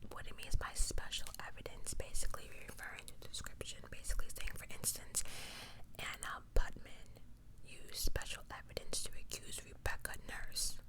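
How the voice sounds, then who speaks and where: A woman whispering true-crime ASMR, reading and adding opinionated, slangy, conversational asides as she goes. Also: normal speed. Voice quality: pure unvoiced whisper. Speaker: ASMR host.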